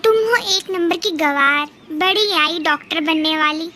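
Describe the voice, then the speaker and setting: A woman's voice: extremely high pitch, unhurried, noisy background. A woman playing a harsh, scolding stepmother in a children's cartoon. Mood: scornful. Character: harsh, scolding stepmother who favours her own daughter.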